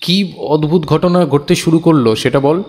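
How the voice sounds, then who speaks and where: An actor playing a concerned friend, urgent and coaxing, in a dramatised audio story. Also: male voice, a little high, moderate pace, darkish tone, husky. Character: concerned male friend calming a terrified man.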